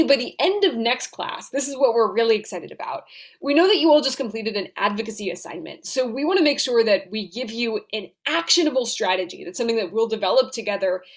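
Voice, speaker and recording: A young woman giving an upbeat class overview over a video call, often checking in with "right?". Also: a little high. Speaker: student guest presenter. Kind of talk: class presentation.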